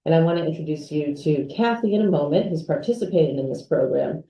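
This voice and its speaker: A woman's voice: sits low, fairly quick, creaky, warm tone. A woman who is a school's associate head and dean, giving a polished, upbeat presentation. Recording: echoey room from far off.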